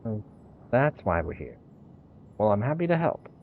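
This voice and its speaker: Animated man streaming, slightly muffled. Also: fairly low pitch, moderate pace, noisy background. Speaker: livestreamer reading and reacting to a game. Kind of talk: casual game commentary. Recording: muffled mic over game music. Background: game music.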